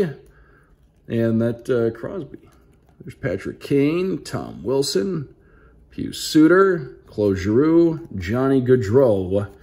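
Animated man with announcer-style delivery. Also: a little high. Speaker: hockey play-by-play broadcaster hosting a card unboxing. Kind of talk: casual unboxing commentary, calling out player names.

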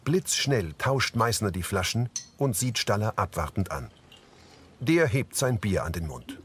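A man's voice: lowish voice, very animated, a little slow, noisy background. A man reading scripted present-tense scene descriptions as an audio description narrator. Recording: music behind the voice.

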